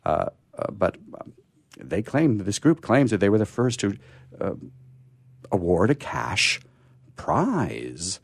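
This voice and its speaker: A man with a low voice and a sore throat hosts a radio show in a loose, conversational way. His pitch moves around a lot as he stumbles, backs up and restarts his phrases. The sound is close and dry. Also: very animated, gravelly, husky, dry recording. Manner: halting, often stammering and restarting mid-phrase. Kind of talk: casual unscripted radio talk.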